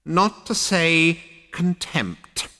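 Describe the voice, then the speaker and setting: A man voicing a tiny, ancient two-headed male ghost who snaps and scolds in a rasping, screechy voice. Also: fairly high pitch, big pitch swings, drawn-out words, sharp tone, in a large hall, pitched above the performer's own voice, full character voice. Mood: scolding. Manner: snappish, disdainful scolding.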